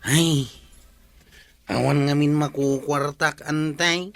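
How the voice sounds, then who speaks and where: Male actor as penniless grumbler, Ilocano-accented radio comedy; drawn-out, fairly high complaints, dry sound. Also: steady tone, gravelly, a bit bright, dry recording, faint music behind, exaggerated voice. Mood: aggrieved. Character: aggrieved, broke husband with a worn motorbike.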